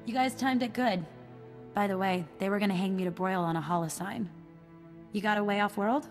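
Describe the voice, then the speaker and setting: A tough female outlaw on the run, played by an actress, with a medium-pitched voice. She talks bluntly, with streetwise wryness, in video game dialogue. Music plays faintly behind her. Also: a little fast, close to an everyday voice. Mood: gruffly wry.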